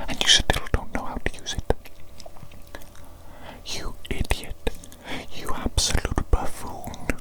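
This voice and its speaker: A woman voicing a pompous, exasperated evil genius doctor in an ASMR roleplay, in a breathy whisper with fussy, repeated phrases. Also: lightly acted, low-quality recording. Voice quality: breathy unvoiced whisper with creaky edges.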